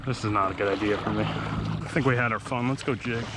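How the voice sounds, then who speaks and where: A man's voice: lowish voice, steady tone, fairly quick. A man in his thirties giving laid-back, colloquial fishing vlog commentary, often as if talking to himself, outdoors on a kayak. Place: outdoors in a kayak on open sea. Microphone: action camera mounted on kayak or body. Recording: loud wind and water noise outdoors.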